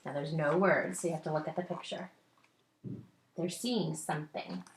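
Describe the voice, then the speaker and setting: A woman teacher reading a picture book aloud expressively, pausing to put simple questions to young listeners. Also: low voice, roomy sound, slight background noise.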